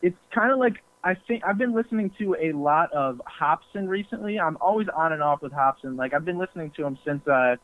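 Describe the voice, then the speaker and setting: A man's voice: on the high side, expressive, a little fast, few pauses. A young man, a podcast guest, chatting casually with a lot of ums and easy laughs. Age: young adult. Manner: laughing easily, hesitant, filler-heavy. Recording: tinny phone-line sound.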